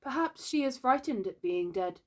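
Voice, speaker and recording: A woman's voice: on the low side, fairly even intonation, slow, fairly bright tone, slightly rough, heavily acted. A woman voicing a cocky boy who leads a band in a storybook, speaking in an imperious, declamatory way. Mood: troubled.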